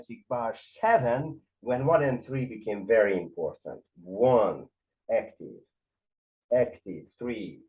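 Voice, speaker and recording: A man with a Hungarian accent teaches conducting in an animated, explanatory way, counting beats and voicing the rhythms. His pitch swings widely as he talks. The recording sounds somewhat dull and lo-fi. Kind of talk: explanatory music lesson.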